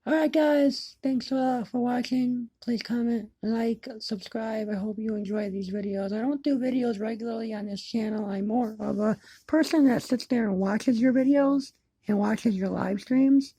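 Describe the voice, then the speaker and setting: A woman with a low-lit, mellow voice and a lot of fry in it talks casually to her viewers. She is plain-spoken and halting, often circling back over what she said. The sound is close and dry. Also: dry recording, rough recording. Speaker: hobbyist video maker. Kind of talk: casual chat to camera. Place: indoors in an apartment, by a window.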